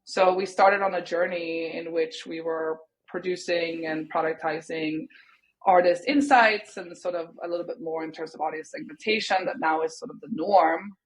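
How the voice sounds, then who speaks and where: A woman's voice: lowish voice, steady tone, normal speed, rough recording. A woman in her thirties from Sweden, a native Swedish speaker, talking thoughtfully over a video call and hedging often with "sort of" and "kind of". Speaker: guest. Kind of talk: casual interview talk. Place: home room, indoors.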